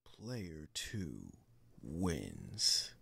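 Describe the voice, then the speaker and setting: Fighting game announcer: rock-bottom pitch, heavy gravel, drawn-out commanding calls, noisy sound. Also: huge pitch swings, fairly bright tone, no room sound, exaggerated voice. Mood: dramatic, commanding. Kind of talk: terse arcade game announcements.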